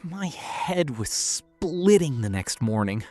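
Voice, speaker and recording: A man's voice: medium pitch, sing-song, slow pace, a little mellow, faint music behind. A man narrating a first-person horror story, tense and often dropping to a whisper.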